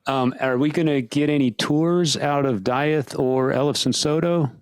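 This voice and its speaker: A man's voice: medium pitch, measured pace, smooth. A man hosting a podcast, chatting casually with an enthusiastic, plainspoken manner. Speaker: podcast host. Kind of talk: casual interview chat.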